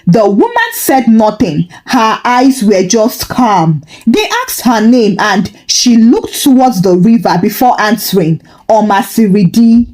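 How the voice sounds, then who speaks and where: Animated woman folktale storyteller. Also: on the high side, unhurried, hardly any pauses, rough recording. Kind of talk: scripted dramatic folktale narration.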